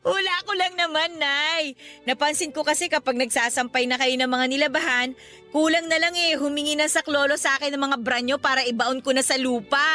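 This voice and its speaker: Casting: teasing, affectionate daughter in radio drama; bright, high voice over soft music. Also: female voice, fairly even intonation, a little fast. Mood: cheerful, teasing. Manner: teasing, jokey. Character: cheeky, loving daughter, teasing her parents. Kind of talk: Tagalog radio drama acting. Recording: music behind the voice.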